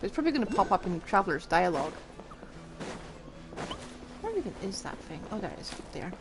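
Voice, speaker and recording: A woman's voice: mid-range voice, sing-song, fairly quick. A woman giving casual, wry, offhand live commentary as she streams a game. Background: game music.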